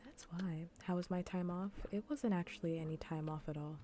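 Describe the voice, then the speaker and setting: A woman streamer chatting casually in a soft, breathy, often near-whispered ASMR style, rambling and repeating phrases. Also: fairly low pitch, fairly quick, a little hiss or hum. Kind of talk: soft-spoken ASMR gaming stream chat.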